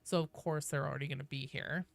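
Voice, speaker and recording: A woman's voice: low-pitched, fairly even intonation, fast talker, creaky, dry recording. A woman hosting a livestream, talking casually and explaining game lore with firm opinions and casual swearing. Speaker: live streamer host.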